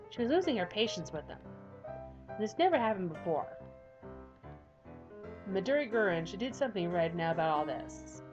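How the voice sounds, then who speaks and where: Woman narrator for a fan visual novel, mid-pitched, earnest and a bit stumbling, dull lo-fi audio. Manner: earnest, slightly stumbling reading. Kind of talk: storybook-style narration.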